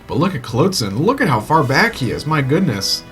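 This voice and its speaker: A man giving live play-by-play commentary on an online shooter match, getting excited at kills and stumbling over player names. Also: mid-range voice, moderate pace. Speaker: esports shoutcaster. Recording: loud game music and gunfire behind.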